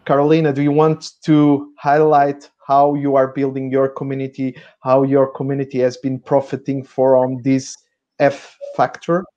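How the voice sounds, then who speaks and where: Male panel moderator, hesitant, mellow tone, little melody. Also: mid-range voice, monotone, normal speed, muffled recording. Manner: hesitant, restarts often.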